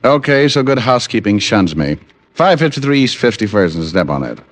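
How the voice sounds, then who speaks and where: An actor playing a sardonic private detective in an old radio drama, trading wisecracks and narrating in a hardboiled style. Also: male voice, on the low side, very animated, a little fast, no room sound. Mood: wry. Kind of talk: 1950s radio drama acting. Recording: old radio broadcast with music.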